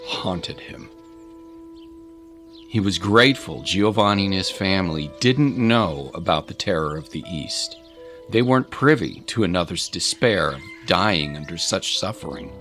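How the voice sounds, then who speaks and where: Deep-voiced male story narrator. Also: fairly bright tone. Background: music.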